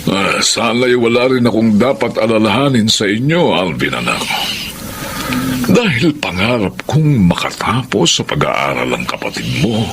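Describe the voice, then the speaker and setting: A man's voice: on the low side, sing-song, moderate pace, a bit bright. A man playing a worried, caring older Filipino father in a radio drama. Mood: worried, weary. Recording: noisy background ambience.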